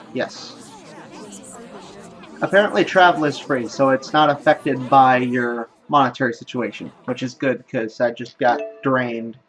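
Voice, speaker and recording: A man hosting a casual let's play, thinking aloud in a rambling, self-deprecating way. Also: mid-range voice. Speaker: gaming video host. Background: game music and sounds.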